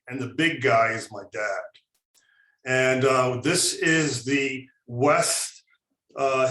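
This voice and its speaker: A man in his fifties from Albany shares his memories in a reflective, unhurried way, pausing on fillers. His voice sits mid-range. The room around him is echoey. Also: mid-range voice, a bit bright. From Albany, New York. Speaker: panelist. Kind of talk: personal storytelling presentation. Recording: roomy echo.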